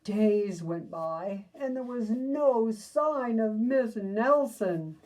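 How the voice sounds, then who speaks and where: Brief: unhurried woman storyteller, mellow voice, chatty asides to kids, mic a bit far off. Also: a little high, lively intonation. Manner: slow, gentle, asides to young listeners. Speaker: storybook reader. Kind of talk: reading a picture book aloud to children.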